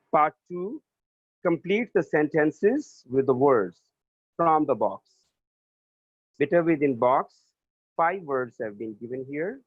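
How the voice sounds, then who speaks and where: Male teacher, unhurried and repetitive, dry tinny phone-like audio. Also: mid-range voice, breathy edge, no room sound. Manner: repeats and spells out each answer. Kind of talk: slow remote class lesson, spelling words aloud.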